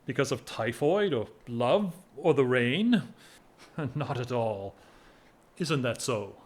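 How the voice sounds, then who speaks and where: An actor playing a whimsical, lovesick English gentleman of forty-one in a period audio drama, wry, measured and self-mocking. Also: mid-range voice, very animated.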